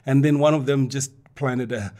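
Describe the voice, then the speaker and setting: A man's voice: medium pitch, steady tone, normal speed, mellow. A middle-aged man from Zambia, an audiologist and guest, telling his story in an interview; he laughs often and repeats words when he starts a thought.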